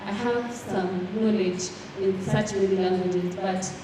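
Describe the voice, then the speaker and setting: A woman's voice: mid-range voice, steady tone, slow, background noise. Young rwandan woman talking on a panel, sounds far off in a big echoey hall. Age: young adult. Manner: earnest, fluent with frequent fillers and restarts. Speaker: panelist, student representative. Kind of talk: panel discussion answer.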